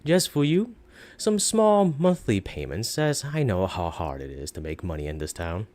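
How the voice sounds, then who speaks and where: Man putting on a sly, theatrical pawnbroker, pitch swooping. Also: very animated, normal speed, darkish tone. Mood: wheedling. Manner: theatrical, wheedling salesman patter. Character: sly, boastful, flattering pawn shop owner, a swindler.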